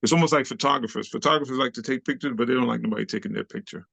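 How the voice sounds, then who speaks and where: Gravelly New man in his sixties. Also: medium pitch, racing, no echo. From Haiti, raised in Brooklyn, New York. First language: Haitian Creole. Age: 60s. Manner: animated, slangy, laughs often. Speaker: interview guest, club dancer. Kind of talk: casual storytelling interview.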